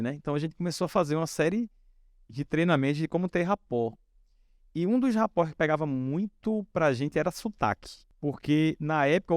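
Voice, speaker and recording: Man from northeast brazil, forties, founder telling anecdotes, smooth clear voice, dry close sound. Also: a little high, no room sound. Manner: relaxed anecdotal, many fillers like "né". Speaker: founder and guest speaker. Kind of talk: storytelling on a stage talk.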